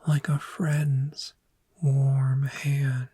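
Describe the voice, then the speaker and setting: A very breathy, whispered voice, a woman acting as a calm dragon therapist in an ASMR roleplay. The delivery is extremely slow and deliberate, with a soft, mellow tone that gently soothes the listener through guided imagery. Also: a bass voice, full character voice. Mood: soothing, encouraging.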